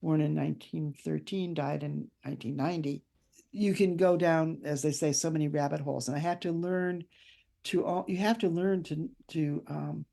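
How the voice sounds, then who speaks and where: An older woman, an author being interviewed, speaking in a thoughtful, anecdotal way with measured phrasing. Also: low voice, fairly even intonation, vocal fry, dark tone. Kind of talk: reflective conversational interview.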